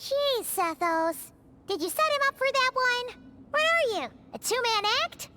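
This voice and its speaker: Tiny, very high-pitched girl companion. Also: big pitch swings, a little slow, heavy background noise, heavily acted. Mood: exasperated. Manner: childlike, blunt, speaks of self in third person. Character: small squeaky girl companion, blunt and easily exasperated. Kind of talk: video game character acting.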